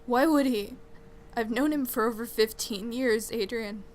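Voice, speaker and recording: A woman's voice: a little high, a little slow, a little hiss or hum, natural voice. A woman playing an exasperated, fiercely loyal best friend in a naturalistic audio drama interview, blunt and emphatic. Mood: defensive, insistent.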